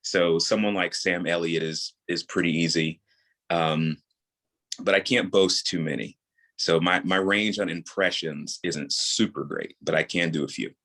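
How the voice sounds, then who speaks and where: A man's voice: low-pitched, steady tone, measured pace, bright, forceful tone. A man from New York with a soothing tone, chatting casually as an interview guest and explaining things in an easygoing way. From New York, USA. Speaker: guest, audio drama creator and voice actor.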